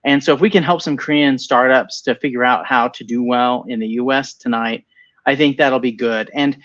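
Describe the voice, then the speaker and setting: A man's voice: mid-range voice, fairly bright tone, lo-fi sound. A man in a casual webinar conversation, talking with enthusiasm and repeating himself as he starts sentences. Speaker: venture capital partner and co-host.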